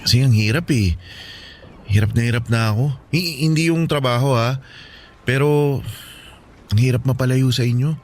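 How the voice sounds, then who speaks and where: A man's voice: mid-range voice, normal speed, long pauses, a little mellow, slight background noise, natural voice. An actor playing a homesick Filipino seaman husband in a radio drama, anxious, hesitant and pleading with his wife. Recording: music bed under the voice.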